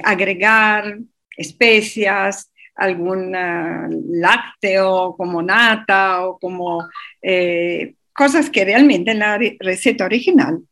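A woman speaks slowly as a radio guest. She hesitates often, filling gaps and restarting her sentences. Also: mid-range voice, a bit bright. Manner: hesitant, many fillers and restarts. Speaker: interview guest. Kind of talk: radio interview.

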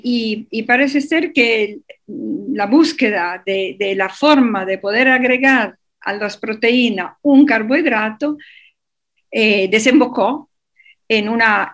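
A woman's voice: a little high, takes it slowly, a bit bright. A woman guest on a radio interview, explaining at length with many hesitations and restarts. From Europe.

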